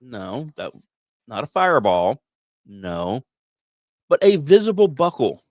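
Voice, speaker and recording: A man narrates like a podcast storyteller, his mid-pitched voice swinging widely up and down. He leans on key words and leaves dramatic pauses, mixing scripted lines with casual asides. The sound is close and very dry, with no room around it. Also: sing-song, no echo. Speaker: podcast host.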